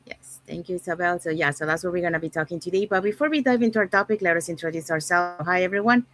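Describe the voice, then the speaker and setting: A woman from Panama hosting an online panel talk, relaxed, often saying "so yeah". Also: fairly low pitch, quick pace. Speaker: host.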